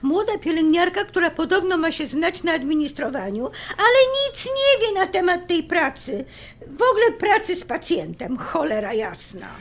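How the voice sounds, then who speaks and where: Sharp-tongued middle-aged wife: very high voice, swooping, indignant, nagging. Radio drama. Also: female voice, very animated, normal speed, exaggerated voice. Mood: indignant complaining. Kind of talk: audio drama acting.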